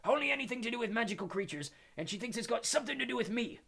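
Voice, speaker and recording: A hulking, soft-hearted half-giant gamekeeper speaks in a rough, gravelly voice, as a male reader puts him on. He stammers and stumbles in a rustic way, his pitch leaping high and low. It is a storybook performance. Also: expressive, rapid-fire, exaggerated voice. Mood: indignant.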